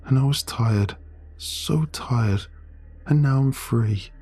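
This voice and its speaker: Male reader doing a raised, breathy teen schoolgirl, tired and resigned, over faint music. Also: medium pitch, slow, a little mellow, voice pushed up. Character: troubled teenage schoolgirl, weary and defiant.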